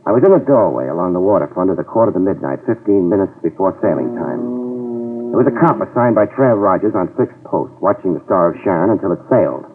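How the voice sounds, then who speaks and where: A man's voice: fairly low pitch, natural voice. A man playing a wisecracking hard-boiled private eye. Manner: dry wisecracks, tough and sardonic. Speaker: private investigator and narrator. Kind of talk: 1950s radio drama acting. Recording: tinny old radio broadcast with music, mic at a distance.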